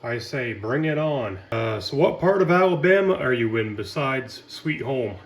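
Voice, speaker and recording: A man from Maine chatting casually on ham radio, rambling and relaxed. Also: mid-range voice, normal speed, heavy background noise.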